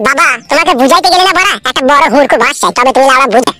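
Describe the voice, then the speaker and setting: A woman's voice: high voice, rapid-fire, sharp tone, soft background music. A woman voicing an aggrieved, foul-mouthed young cartoon son-in-law who argues and complains sarcastically. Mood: sulky accusing. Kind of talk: crude comedy cartoon dubbing.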